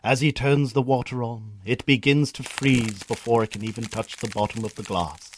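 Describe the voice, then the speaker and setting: A man's voice: medium pitch, moderate pace, a little hiss or hum. A man with a Northern Irish accent reading scripted narration as the narrator of a story.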